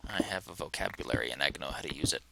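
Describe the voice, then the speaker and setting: A man casually talking to himself as he plays a game, rambling in a relaxed way. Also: extremely low pitch, very fast, vocal fry, background noise. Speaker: let's play host. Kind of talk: casual gameplay commentary.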